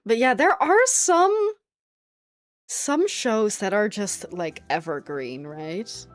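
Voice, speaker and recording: A woman's voice: medium pitch, lively intonation, takes it slowly, no echo, faint music behind. A young woman from the Netherlands, a native Dutch speaker, chatting casually and rambling with many fillers while streaming a game, often breaking into giggles. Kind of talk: casual chat while gaming.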